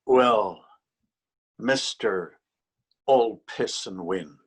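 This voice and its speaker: A man's voice: on the low side, drawn-out words, slightly rough, exaggerated voice. Man acting a stern, menacing policeman. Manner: stern, threatening. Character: gruff, menacing police officer, proud and easily insulted. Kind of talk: readers theater stage acting.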